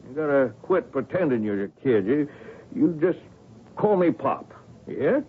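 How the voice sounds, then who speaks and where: A man's voice: medium pitch, sing-song, a little slow, breathy edge. An actor playing a domineering, stubborn working-class father with an emphatic, folksy way of talking, in radio drama style. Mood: bullying. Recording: tinny radio with faint noise.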